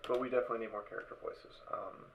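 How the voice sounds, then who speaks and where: A man hosting a casual live stream over voice chat, talking haltingly and repeating his words as he organises. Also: medium pitch, level tone. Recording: dull voice chat with background noise.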